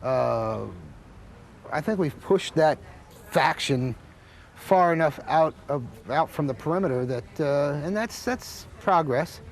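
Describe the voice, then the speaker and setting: A man's voice: a little high, animated, slow pace, vocal fry. A man from an artist community, talking hesitantly with many fillers in a documentary interview.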